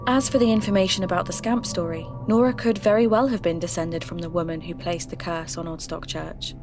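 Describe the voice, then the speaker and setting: An actress playing an earnest, curious podcast host, narrating a folklore investigation in a reflective, confiding way. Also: female voice, fairly low pitch, steady tone, fairly quick, over music, close to an everyday voice.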